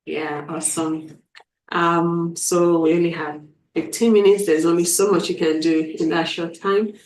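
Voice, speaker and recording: A woman's voice: on the low side, flat delivery, measured pace, darkish tone. A woman hosting a live stream interview, enthusiastic, often repeating words as she frames her questions over a video call. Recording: roomy, distant from mic.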